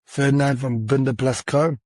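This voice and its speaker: A man's voice: medium pitch, fairly even intonation, normal speed, darkish tone, no room sound, clean studio sound. A man moderating a conference panel, thanking one speaker and introducing the next in a friendly, brief way.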